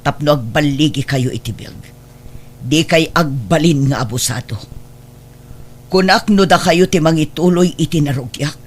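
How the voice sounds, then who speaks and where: An actor playing a stern, resolute older wealthy adoptive mother with an Ilocano accent in a radio drama. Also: deep voice.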